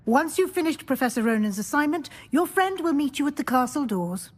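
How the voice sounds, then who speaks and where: Rich: A brisk, stern older professor of magic. She hands out orders with dry wit. Her voice sits a touch high for a woman. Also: expressive. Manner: crisp, wry, authoritative. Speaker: deputy headmistress.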